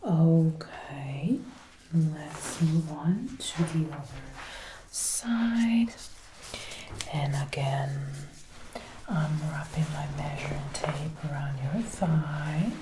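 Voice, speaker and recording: A woman doing a soft-spoken ASMR roleplay, often whispering, gently and methodically narrating each step close to a binaural microphone. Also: low voice, drawn-out words. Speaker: ASMR creator. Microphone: close binaural microphone, ear to ear. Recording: roomy close-up binaural, some noise.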